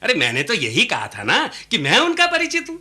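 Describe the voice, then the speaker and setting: A man's voice: high voice, huge pitch swings, fairly quick, bright, forceful tone, husky, full character voice. An actor playing a sly, scheming man in a radio play, oily, chuckling and blustering, fawning on his betters. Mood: blustering, gleeful. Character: sly, scheming, fawning male matchmaker brother. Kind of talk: Hindi radio play acting.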